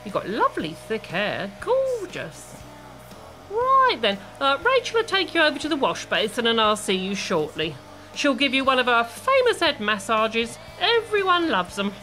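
A woman's voice: on the high side, wildly swooping pitch, moderate pace, pushed and bright, soft background music, heavily acted, rough recording. A woman playing a chatty, gossipy, bustling hair salon owner in an audio play, gushing and exclaiming. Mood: gossipy, cheery. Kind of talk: amateur audio play acting.

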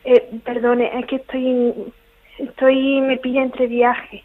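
A woman's voice: sits high, little pitch movement, slow pace, dry recording. A young woman with an accent from Spain, chatting casually on the phone in a polite, agreeable way. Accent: Spanish from Spain. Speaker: prank call target. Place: in a car, on the phone. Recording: dull phone line.

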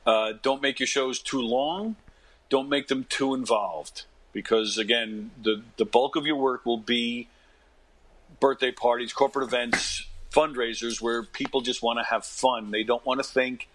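A man of fifty with a bright, forceful voice at a middling pitch. He talks casually as an interview guest, like an animated storyteller used to performing. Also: measured pace, a little hiss or hum, rough recording. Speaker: interview guest, actor and comedian. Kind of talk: casual interview anecdotes and advice.

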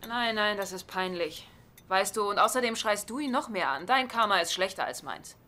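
A woman playing an exasperated, sharp-tongued wife in a German-dubbed sitcom, scolding and sardonic. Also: medium pitch, lively intonation, moderate pace, a little hiss or hum. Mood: guilty, agitated.

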